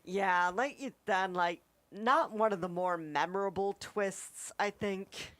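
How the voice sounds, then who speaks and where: A woman's voice: medium pitch, slow pace, fairly bright tone, no echo. A woman giving her opinions as a co-host in a casual review chat.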